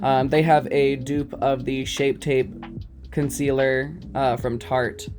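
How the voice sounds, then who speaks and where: A man in his twenties, a drag queen, talking through his makeup routine in a casual, self-mocking way, with lots of ums and quick giggles. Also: medium pitch, little pitch movement, music in the background. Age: 20s. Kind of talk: casual makeup tutorial commentary.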